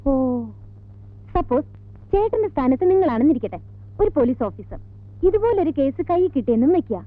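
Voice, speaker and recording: A woman's voice: high-pitched, big pitch swings, fairly quick, dry recording. An actress playing a guarded, firm-willed woman in an old Malayalam film drama. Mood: teasing. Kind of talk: 1980s Malayalam film acting. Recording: thin phone-like old film soundtrack, music.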